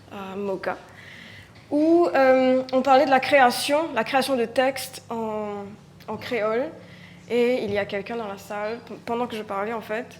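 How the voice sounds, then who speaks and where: A Mauritian woman with a Mauritian French accent, a writer speaking reflectively on a live conference panel, often pausing and repeating words as she searches for them. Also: medium pitch, a little hiss or hum.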